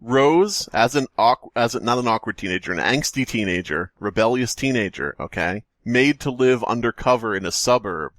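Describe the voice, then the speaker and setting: An excitable man in casual podcast chat, his pitch moving around a lot. He riffs loosely and swears freely, recorded close and dry. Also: normal speed, hardly any pauses, no room sound. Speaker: podcast co-host. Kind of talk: casual chat about video games.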